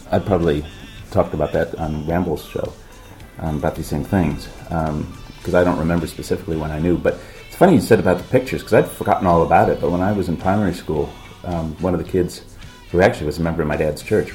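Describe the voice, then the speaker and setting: A deep, mellow-toned man hosting a podcast, his voice roughened and gravelly from a cold. He chats easily with his co-host, laughs often and slips in small self-mocking jokes. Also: fast, soft background music. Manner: chatty, laughs often, light self-mockery. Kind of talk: casual chat.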